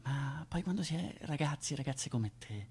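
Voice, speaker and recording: A man's voice: medium pitch, expressive, moderate pace, darkish tone, husky. A man playing a doting, easily amazed companion to a child in theatrical radio storytelling, with stammered repetitions and coaxing exclamations. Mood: hushed, thoughtful. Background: music, plucked guitar.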